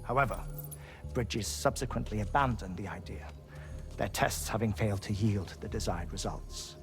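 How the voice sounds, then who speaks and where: An actor playing a courtly, pensive researcher in a video game cutscene, speaking in a measured, eloquent, formal way. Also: male voice, on the low side, natural voice. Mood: measured, explanatory. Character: courtly, pensive male researcher reporting findings. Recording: loud music behind the voice.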